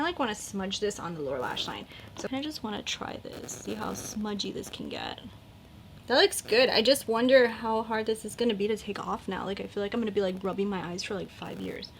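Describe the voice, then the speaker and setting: A woman's voice: on the high side, bright, forceful tone, a little hiss or hum. A woman in her thirties chatting casually while doing her makeup, rambling with lots of likes and fillers. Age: 30s. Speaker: beauty vlogger.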